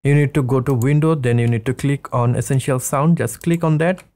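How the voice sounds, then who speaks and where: A man in his thirties hosting a casual software tutorial, explaining things step by step. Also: medium pitch, fairly even intonation, brisk. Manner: step-by-step instructing. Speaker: tutorial host. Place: small indoor studio room.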